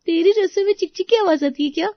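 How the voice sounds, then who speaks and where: Here is a playful young woman friend, acted by a female storyteller, pitched high and full of teasing exclamations. She belongs to a moral story, and the sound is slightly dull. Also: quick pace, dry recording. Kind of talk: Hindi moral-story character dialogue.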